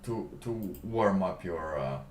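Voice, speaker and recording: A man live streaming, chatting casually in a halting, rambling way with lots of fillers. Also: low voice, lively intonation, slight background noise. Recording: roomy, distant mic with faint ambience.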